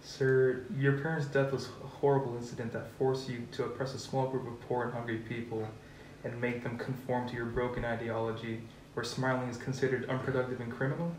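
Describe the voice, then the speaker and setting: A man's voice: mid-range voice, flat delivery, a little fast, close to an everyday voice. A man voicing a loyal, devoted attendant to a king in an amateur table read. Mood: earnest questioning. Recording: echoey room, distant mic, faint noise.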